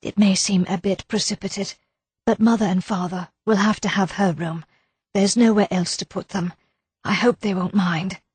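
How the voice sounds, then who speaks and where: A woman voicing a gentle, anxious Englishwoman in an audiobook, hesitant and apologetic. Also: medium pitch, steady tone, normal speed, husky, no echo. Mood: unhappy. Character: shy, anxious, gentle Englishwoman, easily flustered.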